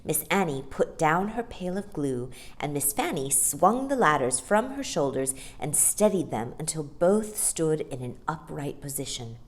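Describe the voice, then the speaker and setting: A woman narrating a children's story in an expressive storytime style. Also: sits low, a little slow, few pauses. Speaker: storytime reader.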